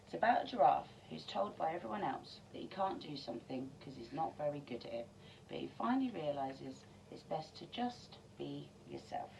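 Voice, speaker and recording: A woman reading a children's story aloud in an expressive way, as a teacher would to young children. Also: low voice, normal speed, gravelly. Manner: addressing young children, animated on story lines. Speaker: primary school teacher reading a bedtime story. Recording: distant mic, dull sound, faint noise.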